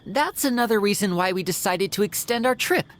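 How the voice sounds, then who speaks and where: A man's voice: high voice, lively intonation, a little fast, heavy background noise. An actor playing a suave, courteous young male magician in a video game, with a polished, theatrical manner. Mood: amused, polite.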